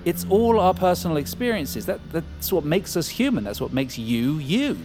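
Audio drama, scheming excitable scientist, lively pitch swings, pushy and profane. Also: male voice, normal speed, music in the background. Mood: excited, urgent. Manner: fervent, persuasive, profane.

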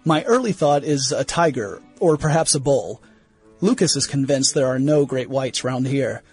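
A man's voice: mid-range voice, moderate pace, darkish tone, slightly rough, soft background music, natural voice. A man playing an earnest young museum scientist of 1916, reading journal entries in a radio play in formal, clipped phrasing. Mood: concerned, skeptical. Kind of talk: scripted diary narration in a radio play.

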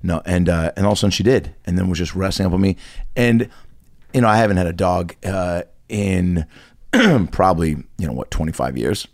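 A man's voice: low-pitched, brisk, darkish tone, no echo. A man who does voiceover, telling a casual story with laughter on a podcast. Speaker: voice actor, podcast host.

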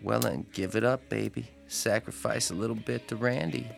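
A man's voice: mid-range voice, vocal fry, faint music behind. An actor playing a slangy, cajoling young bad-boy biker in an audio horror drama. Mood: pushy, coaxing. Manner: slangy, cajoling, casual drawn-out delivery.

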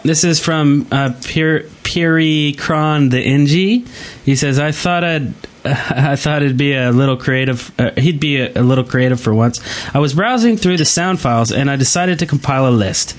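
A man's voice: a little high, unhurried. A man co-hosting a gaming podcast in a casual, chatty style. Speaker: podcast co-host.

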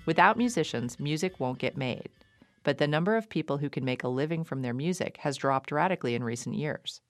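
A woman hosting a radio show about music, reading a scripted intro and then asking interview questions in a measured, conversational way. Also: sits low, animated. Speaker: radio show host and record label owner.

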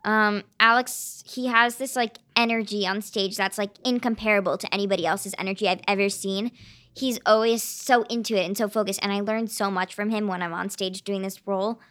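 A woman's voice: medium pitch, fairly even intonation, brisk, a bit bright. A teenage actress chatting eagerly as an interview guest, filling her talk with "um" and "like". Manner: eager, chatty, many fillers like "um" and "like". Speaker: young stage actress, guest. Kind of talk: casual interview chat.

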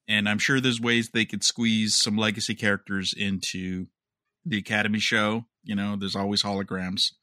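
Bright-voiced man discussing entertainment news. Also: fairly low pitch, no room sound, studio quality. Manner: opinionated, wry, self-described jaded. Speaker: podcast co-host.

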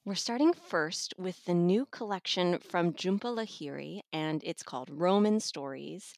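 A woman's voice: lowish voice, a little slow, gravelly, no echo, clean studio sound. A woman reviewing audiobooks in a casual conversation. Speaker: audiobook reviewer and podcast guest. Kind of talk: casual book discussion.

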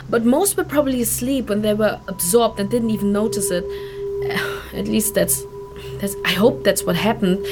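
A high-pitched young refugee woman played by an actress in a fantasy audio drama. She is earnest and stubborn, weighed down by guilt, and speaks haltingly with feeling. There is noticeable noise and rumble behind her. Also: lightly acted.

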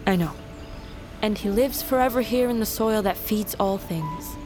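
A frank young woman played by an actress in a fantasy audio drama, with a medium-pitched voice. Her manner is wry, teasing and familiar, like an old friend's, with music clearly behind her. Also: normal speed, lightly acted. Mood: wry, affectionate. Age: young adult.

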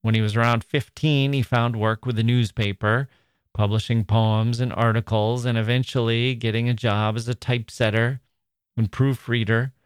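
A man's voice: on the low side, smooth, no room sound. A man hosting a literature podcast, talking listeners through his notes in a chatty, wry, self-mocking way with asides. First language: English. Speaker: podcast host. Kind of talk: scripted podcast narration.

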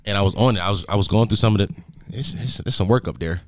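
A man's voice: on the low side, fast, no room sound, studio quality. A young man hosting a podcast in casual chat. He stutters and restarts, jokes bluntly and laughs often. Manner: joking, teasing, blunt. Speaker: podcast host.

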